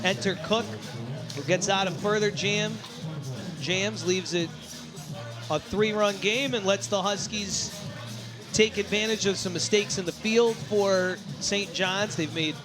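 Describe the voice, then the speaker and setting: A man doing live baseball play-by-play commentary as the announcer, who gets excitable and shouts on big plays. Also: high voice, a little slow, music in the background. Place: sports broadcast at a baseball game.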